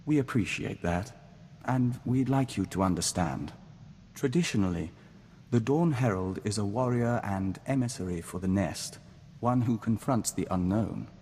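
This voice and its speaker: A man's voice: lowish voice, moderate pace, darkish tone, lightly acted. A man playing a serene diplomat joined to an insect hive mind in a game, speaking formally and with measure, calling himself "we.". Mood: serene, reverent. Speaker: game companion character. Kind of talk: scripted game dialogue acting. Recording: reverberant hall, clear background noise.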